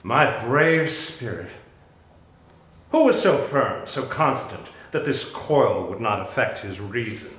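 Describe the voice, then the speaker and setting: A man playing a grave, commanding exiled duke and sorcerer in a deliberate, declamatory Shakespearean stage reading. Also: mid-range voice, big pitch swings, fairly bright tone. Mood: commanding, questioning. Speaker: actor in dramatic reading. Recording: echoey room.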